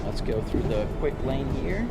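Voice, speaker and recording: A man's voice: medium pitch, expressive, moderate pace, over loud music. A Brazilian man whose first language is Portuguese, chatting casually with viewers on a livestream, reading their messages aloud and answering as he drives a simulator. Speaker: streamer. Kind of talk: casual livestream chat while driving a simulator. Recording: loud constant background noise.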